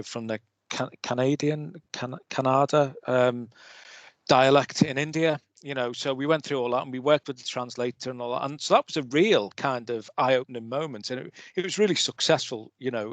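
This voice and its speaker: A man, a poetry magazine co-editor, talking casually on a panel and telling stories with lively quoted asides. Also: mid-range voice, no echo. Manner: chatty, anecdotal, quotes himself animatedly.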